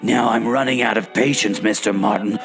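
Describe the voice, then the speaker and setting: A man's voice: fairly low pitch, fairly even intonation, a little fast, a bit bright, faint music behind. A man playing a smug, impatient villain boss in a comic adventure radio play, speaking formally and with wry menace. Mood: smug, threatening.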